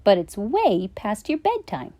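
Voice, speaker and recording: Storybook mom at bedtime, gently firm, soft mellow tone, read by a woman. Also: medium pitch, wildly swooping pitch, measured pace. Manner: gently scolding.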